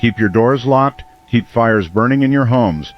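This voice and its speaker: An actor playing a solemn, earnest late-night small-town radio host in a scripted radio drama. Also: male voice, medium pitch, a little slow, close to an everyday voice. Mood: grave, concerned. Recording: dull band-limited radio sound, music behind.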